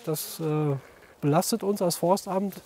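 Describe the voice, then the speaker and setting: A forestry official gives an unscripted interview. He is a man with a distinctly high voice. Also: a little slow, dark tone, a little hiss or hum. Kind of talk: spontaneous interview talk.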